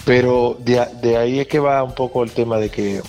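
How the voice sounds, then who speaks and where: A man's voice: medium pitch, steady tone, moderate pace, darkish tone, faint music behind. A man from the Dominican Republic, a band pianist, talking casually in an interview with many restarts and fillers.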